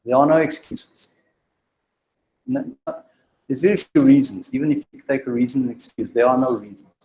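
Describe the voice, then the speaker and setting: A man speaks to a meetup crowd in a mellow, warm tone. His delivery halts and restarts, with a stutter and plenty of ums. He urges his listeners plainly and directly. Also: medium pitch, rough recording. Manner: halting, with frequent ums and restarts; direct, exhorting. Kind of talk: informal presentation to a live group.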